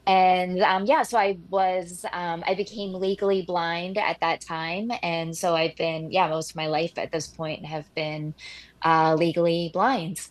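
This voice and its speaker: A woman in her forties from Philadelphia, chatting as a guest in a casual interview, bubbly and quick to laugh, with plenty of fillers. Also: lowish voice, level tone. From Philadelphia, USA. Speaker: guest, new staff member.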